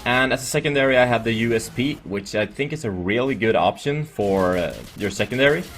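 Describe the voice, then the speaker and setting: A man's voice: mid-range voice, animated, brisk, a bit bright, soft background music. A man from Sweden with a Swedish accent gives casual, rambling and jokey commentary over gameplay. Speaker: gaming video creator.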